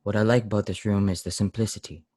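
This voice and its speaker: A man playing a strange, pious and weary lodger in a radio play, solemn, deliberate and brusque. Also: lowish voice, steady tone, dark tone, dry recording, natural voice. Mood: brooding, reserved. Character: strange, weary, severe pious lodger, brooding and easily angered. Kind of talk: old-time radio play acting.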